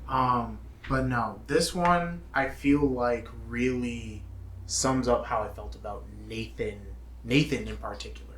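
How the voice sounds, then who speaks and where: A man co-hosting a casual movie review, opinionated and emphatic, halting with pauses as he talks and reads from notes. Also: mid-range voice, fairly bright tone, echoey room, a little hiss or hum, low-quality recording. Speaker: show co-host.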